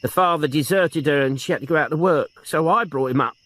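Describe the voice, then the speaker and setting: A woman's voice: sits low, fairly quick, fairly bright tone, pitched above the performer's own voice. A man voicing a grieving elderly woman in her seventies, tremulous and protective of her nephew. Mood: pleading. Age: 70s. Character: grieving elderly aunt, frail and tearful.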